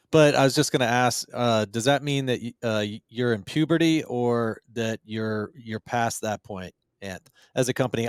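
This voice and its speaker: A man's voice: medium pitch, clear, resonant tone, dry recording, clean studio sound. A man co-hosting a podcast who jumps in with playful, rambling questions. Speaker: podcast co-host. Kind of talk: casual podcast chat.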